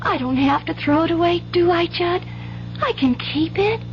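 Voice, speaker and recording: A woman's voice: very high-pitched, expressive, moderate pace. An actress playing an earnest, trusting country schoolgirl with folksy, wide-eyed speech in a radio drama. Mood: frightened, pleading. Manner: folksy rural grammar, eager and wide-eyed. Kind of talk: 1970s radio drama acting. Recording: dull, hissy old radio broadcast.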